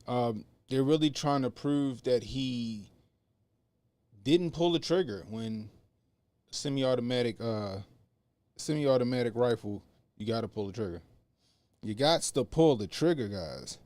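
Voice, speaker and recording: A man hosting a casual political commentary livestream, talking loosely with slang and repeating phrases for emphasis, in what he calls a monotone voice. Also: mid-range voice, measured pace, pauses often, no room sound. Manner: self-described monotone voice. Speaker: livestream host. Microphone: podcast mixer setup. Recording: faint background music under the voice.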